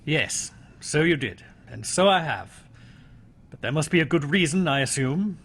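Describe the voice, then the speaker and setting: A courteous police inspector played by a man in an old-style radio mystery. His voice is raised and husky, with animated, probing turns that hint at suspicion. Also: fairly high pitch, exaggerated voice. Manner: polite, measured questioning. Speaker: actor in audio drama.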